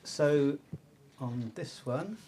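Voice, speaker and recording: A man's voice: a little high, very slow, heavy background noise. A man presenting research findings to a panel in an informal, explanatory manner.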